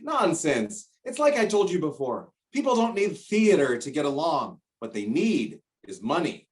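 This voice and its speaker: A man's voice: a little high, very animated, distant mic. A man playing a bossy, greedy middle-aged shopkeeper father in a stage play, blustering and drawing out his words. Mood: scornful, nagging. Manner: blustering, emphatic, drawn-out words. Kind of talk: stage play acting over video call.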